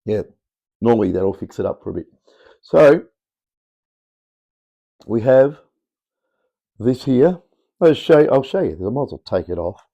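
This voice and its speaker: A middle-aged Australian man with a croaky, worn voice from talking all day, rambling casually as he demonstrates a build in his home workshop. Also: medium pitch, sing-song, a little slow, pauses often, warm tone. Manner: rambling, offhand, chatty explaining. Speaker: electronics hobbyist host. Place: cluttered home workshop, indoors. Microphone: camera mic on tripod.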